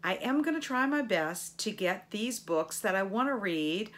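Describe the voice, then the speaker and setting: A middle-aged woman hosting a book channel, chatting casually to viewers with enthusiastic, expressive emphasis. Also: medium pitch, measured pace. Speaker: book vlogger and channel host.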